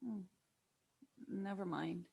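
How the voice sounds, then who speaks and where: A woman's voice: mid-range voice, slow pace, gravelly, darkish tone, husky, exaggerated voice. A woman playing a blunt, impatient young granddaughter in a scripted drama table read. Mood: weary, wary.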